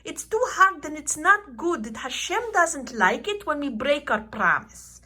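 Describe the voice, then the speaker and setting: Children's puppet show uncle, played by a woman: high-pitched, slow, kindly, instructive. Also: animated, pushed and bright, heavily acted. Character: Uncle Shmuley, kindly excitable teaching uncle puppet.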